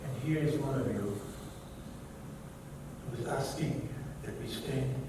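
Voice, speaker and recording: A man's voice: mid-range voice, slow. An older man with a German accent, a native German speaker, gives a keynote talk and tells personal stories in a reflective way, quoting others and correcting himself as he goes. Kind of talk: personal testimony lecture. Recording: echoey hall, mic at a distance, background noise.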